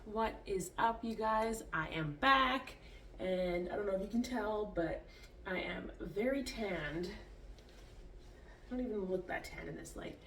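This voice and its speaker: A woman's voice: mid-range voice, expressive, unhurried. A woman from Canada with a congested, stuffy nose, chatting casually to camera in a rambling way, full of "like" and restarts. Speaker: fitness vlogger.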